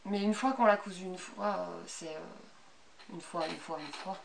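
A woman's voice: lowish voice, a little slow, gravelly. A woman in her thirties with a Belgian French accent, giving a casual, unscripted, chatty show-and-tell as a sewing vlogger. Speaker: sewing vlogger presenting handmade clothes. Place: small bright indoor sewing room. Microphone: camera a couple of metres away. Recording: distant camera mic with background noise.

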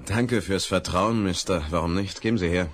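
An actor playing an easygoing small-town sheriff in a radio play, affable and hearty. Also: male voice, deep voice, normal speed, slight background noise. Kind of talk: 1970s radio play acting.

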